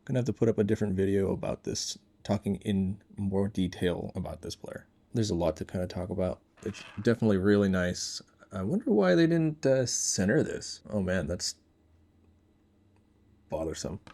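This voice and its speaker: A man's voice: low voice, animated, normal speed, vocal fry, dark tone. A man giving casual, unscripted product commentary, thinking aloud in short, informal remarks as a reviewer.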